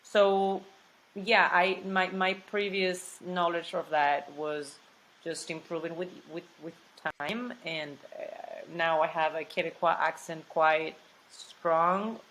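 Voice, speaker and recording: A woman from Mexico with a Mexican Spanish accent, speaking English as a second language as an interview guest, talkative and animated, often repeating words and saying "like". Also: lowish voice, takes it slowly, slight background noise, rough recording. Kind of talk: casual interview.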